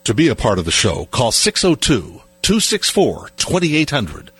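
Slow, swooping radio announcer man. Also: medium pitch, wildly swooping pitch, fairly bright tone, breathy edge, no echo. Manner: slow, drawn-out, showy announcing. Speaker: station announcer. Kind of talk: radio station promo.

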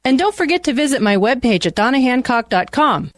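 A woman's voice: high-pitched, sing-song, fairly quick, dry recording. A woman real estate agent reading a scripted radio advertisement in a polished, upbeat sales manner.

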